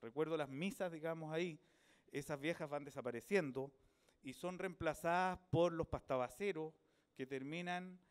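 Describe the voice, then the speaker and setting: Smooth-voiced Chilean man, reflective. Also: a little high. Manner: thoughtful, unhurried, searching for words. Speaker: author speaking about his book. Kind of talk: reflective public talk. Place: book fair event.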